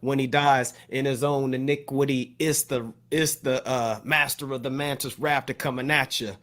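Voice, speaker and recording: A man's voice: mid-range voice, steady tone, normal speed, fairly bright tone. A man co-hosting a podcast, talking casually and appreciatively about hip hop, often repeating words as he goes. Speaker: podcast co-host.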